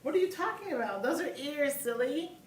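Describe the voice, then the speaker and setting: A woman reads as a contrary storybook friend who teases that it's plainly a rabbit. The tone is playful and a bit high. It sounds roomy, with the mic set back. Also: lively intonation, fairly quick, slight background noise. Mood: teasing contrary. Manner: teasing, contrary. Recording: echoey room, mic at a distance.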